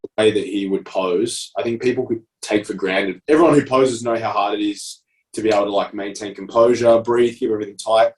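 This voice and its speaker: A man's voice: lowish voice, fairly even intonation, brisk, darkish tone, far from the mic, low-quality recording. A man in his thirties with an Australian accent, co-hosting a coaching podcast in casual, enthusiastic chat. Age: 30s. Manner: enthusiastic, explanatory, fillers like "like" and "obviously". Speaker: prep coach podcast co-host.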